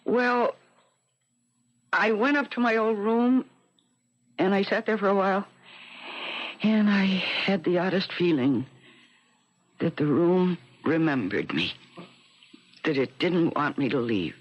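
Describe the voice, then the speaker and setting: A woman acting in a radio drama as a dreamy, haunted character, musing in a halting way. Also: on the low side, animated. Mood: puzzled, yearning. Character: dreamy, haunted woman drawn to her childhood room. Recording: noisy, muffled lo-fi radio drama recording.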